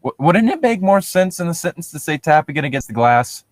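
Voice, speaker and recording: A man's voice: a little high, big pitch swings, fairly quick, dry recording, clean studio sound. A man reading a story aloud in a casual way, breaking off to quip about it.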